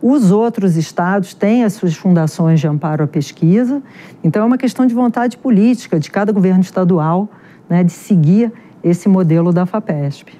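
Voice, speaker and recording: A middle-aged woman with a carioca accent from Rio, a genetics researcher answering interview questions in a chatty, animated way with many fillers. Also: fairly low pitch, normal speed. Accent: Carioca Portuguese. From Rio de Janeiro, Brazil.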